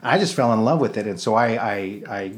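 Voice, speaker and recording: A man's voice: fairly low pitch, expressive, fairly quick. Middle-aged American man telling stories casually. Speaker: interview guest, theater producer and actor. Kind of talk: casual personal storytelling.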